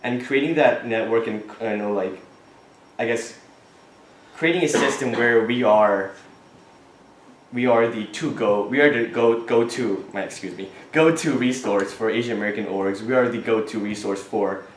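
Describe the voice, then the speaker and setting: A young man from Thailand answering questions as a student board candidate, earnest and halting, restarting his sentences often. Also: lowish voice, slight background noise. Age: young adult. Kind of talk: answering questions at an election forum. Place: classroom. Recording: echoey classroom.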